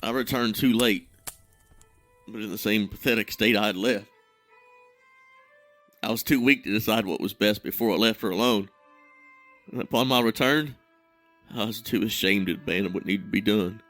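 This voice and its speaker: An actor playing an ashamed, grieving man who tells his tale with self-loathing and dramatic pauses. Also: lowish voice, fairly bright tone, no echo, faint music behind, close to an everyday voice. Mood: bleak shame. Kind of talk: dramatic fantasy monologue in audio drama.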